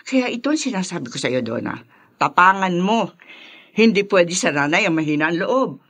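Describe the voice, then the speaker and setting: Pushy, boastful Filipino matriarch, radio drama, swooping emphatic delivery, dry close sound. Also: female voice, lowish voice, very animated, normal speed, fairly bright tone, no room sound, exaggerated voice. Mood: stern, preachy. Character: proud, domineering, ambitious mother and grandmother.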